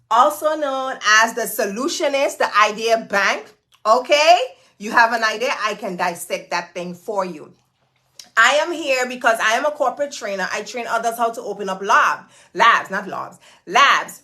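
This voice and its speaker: Chatty woman livestream host, bright voice, phone-mic sound. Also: on the high side, unhurried. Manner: chatty, hypes viewers, repeats words. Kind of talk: casual livestream chat greeting viewers. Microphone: phone camera.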